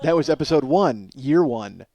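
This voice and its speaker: A man in his forties with a slightly hoarse voice, hosting a podcast as a casual comedic monologue, rambling, profane and self-deprecating. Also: medium pitch, expressive, normal speed, warm tone. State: slightly hoarse from strained singing. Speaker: podcast host.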